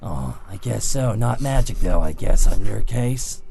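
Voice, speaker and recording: A man's voice: lowish voice, expressive, unhurried, vocal fry, dark tone, a little hiss or hum. A man playing an irritable fantasy adventurer with a sarcastic, grudging manner in a tabletop game.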